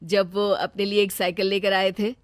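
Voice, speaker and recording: A woman's voice: medium pitch, flat delivery, normal speed, no echo, lightly acted. A woman playing an earnest young village husband and dutiful son in a cartoon. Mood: cheerful.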